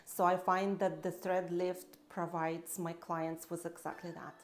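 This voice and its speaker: A woman aesthetic practitioner speaking in a measured, earnest way in an interview. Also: fairly low pitch, level tone. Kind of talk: testimonial interview answers.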